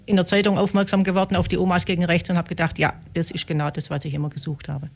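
A woman's voice: on the low side, little pitch movement, fast talker, creaky. A woman, a group member who speaks earnestly and quotes her own thoughts. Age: 60s. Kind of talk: casual interview talk.

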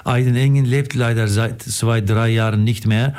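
A middle-aged or older man from Istanbul with a Turkish accent, telling stories as a radio guest, haltingly and repeating words for emphasis. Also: mid-range voice, steady tone, a little mellow, smooth. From Istanbul, Turkey. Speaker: interview guest, theatre director. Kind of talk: casual storytelling in an interview.

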